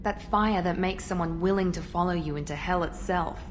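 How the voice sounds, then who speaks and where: A woman's voice: fairly low pitch, normal speed, background noise, close to an everyday voice. A woman playing a proud, cool elite operative in a video game, frank and composed. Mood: self-critical. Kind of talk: video game character acting.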